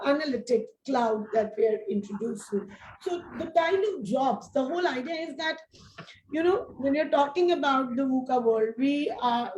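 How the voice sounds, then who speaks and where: Lady hosting a panel, high voice, kinda halting and wordy, echoey with a bit of noise. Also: a little mellow. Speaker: moderator of an education panel discussion. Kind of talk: conversational panel moderation. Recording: echoey room, faint background noise.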